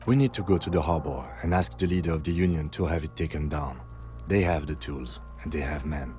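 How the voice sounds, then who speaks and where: An actor playing a calm, reserved police lieutenant who speaks formally in a video game. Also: male voice, very deep voice, fairly quick, soft background music. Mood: composed.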